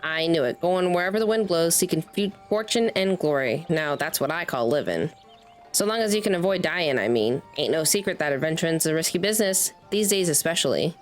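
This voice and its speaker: Woman as old male peddler, fantasy game, rustic, chatty, lower pitch, soft music underneath. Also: lively intonation, a little fast. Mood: cheerful. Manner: rustic, talkative, reading aloud with stumbles.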